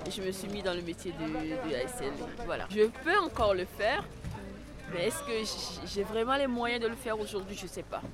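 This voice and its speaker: A woman's voice: medium pitch, lively intonation, a little fast, music in the background. A woman giving a casual, spontaneous testimonial about herself. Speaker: interviewee, former activity leader. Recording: outdoor chatter and traffic noise.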